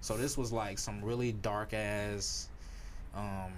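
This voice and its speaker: A man's voice: on the low side, slow, a little hiss or hum. A young man co-hosting a podcast, telling stories in loose, slangy casual chat. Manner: animated storytelling, slangy. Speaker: podcast host.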